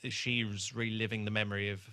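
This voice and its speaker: A man's voice: on the low side, level tone, brisk, pushed and bright. A British man co-hosting a podcast, chatting casually about television in a hesitant, joking and sweary way. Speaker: podcast co-host. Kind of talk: casual TV rewatch chat.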